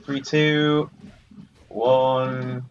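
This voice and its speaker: A man's voice: mid-range voice, flat delivery, drawn-out words, a little hiss or hum. A man giving casual let's play commentary, bantering jokily and swearing mildly at the game's characters. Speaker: gaming video host. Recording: muffled lo-fi audio over game music. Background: video game music.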